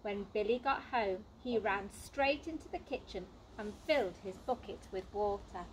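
A woman's voice: medium pitch, moderate pace, slight background noise, rough recording. A woman reading a children's storybook aloud expressively, in the manner of a teacher at storytime.

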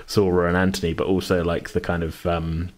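A man's voice: sits low, little pitch movement, fairly quick. A man chatting casually as a game master, in a rambling, hedging way. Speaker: game master and podcast host. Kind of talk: casual chat about a tabletop game.

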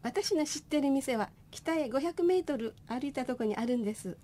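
High, mellow chatty woman, acted. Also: expressive, moderate pace. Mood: cheerful. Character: cheerful chatty female company president. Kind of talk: comic radio drama acting.

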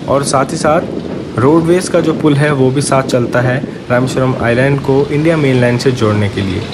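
A man narrating a train journey vlog in a casual, friendly way, talking to his viewers. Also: medium pitch. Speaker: travel vlogger. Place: on a moving train. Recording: train noise behind the voice. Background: train and wind noise.

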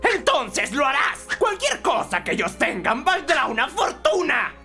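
A greedy imp circus father in a cartoon dub with a Latin American Spanish accent. His voice is high and sharp, swinging wildly as he bullies and manipulates, with faint music behind him. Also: huge pitch swings, unhurried, exaggerated voice. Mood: pushy, manipulative. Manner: forceful, manipulative. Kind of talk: animated cartoon fan dub.

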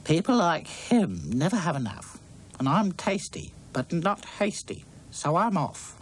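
A man voicing a sly, unhurried female slug with a sing-song way of talking. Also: on the high side, big pitch swings, breathy edge, voice pushed up, full character voice, poor audio.

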